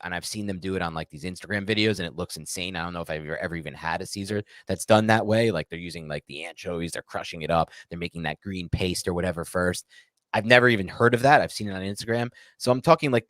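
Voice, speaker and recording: A man's voice: deep voice, fairly bright tone, no room sound, clean studio sound. An American man co-hosting a sports talk show, chatting casually in a rambling, opinionated and emphatic way. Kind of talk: casual live Q&A chat about American football.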